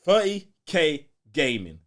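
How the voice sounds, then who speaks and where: A man's voice: fairly high pitch, very animated, takes it slowly, a bit bright. A man with a London accent co-hosting a casual live podcast, bantering in slang and reading out viewer messages. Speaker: podcast co-host reading out super chats.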